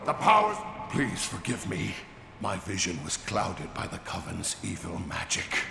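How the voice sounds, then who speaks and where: A man's voice: low-pitched, huge pitch swings, a little slow, creaky, echoey room, noisy background, exaggerated voice. An actor playing a fallen male Templar turned cult traitor in a fantasy game, formal and dramatic. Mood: pleading.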